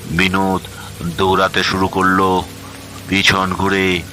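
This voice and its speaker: A man's voice: low voice, monotone, slow pace, heavy background noise. A man narrating a scripted horror story as its storyteller.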